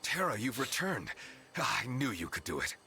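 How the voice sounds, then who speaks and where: A man's voice: medium pitch, big pitch swings, moderate pace, creaky, sharp tone, faint music behind, full character voice. A man voicing a courteous, welcoming werewolf in human form for a video game. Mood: warm welcome. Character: friendly man who turns werewolf under the moon.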